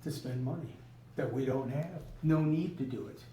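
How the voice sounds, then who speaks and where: A man speaking earnestly at a town meeting's public comment, often pausing with ums. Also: medium pitch, warm tone, lo-fi sound, slight background noise. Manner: earnest, hesitant, many ums and I means. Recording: echoey room, distant mic.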